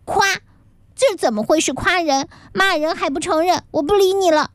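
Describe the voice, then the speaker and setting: A woman's voice: on the high side, sing-song, no room sound. A woman voicing a sulky, boastful little boy who takes offense easily, in a children's story. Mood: offended. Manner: indignant, quick to take offense.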